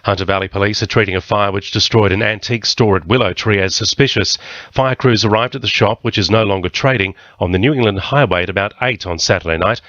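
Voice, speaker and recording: A man's voice: lowish voice, fast talker, a bit bright, no room sound, lo-fi sound. A man with an Australian accent reading a scripted radio news bulletin.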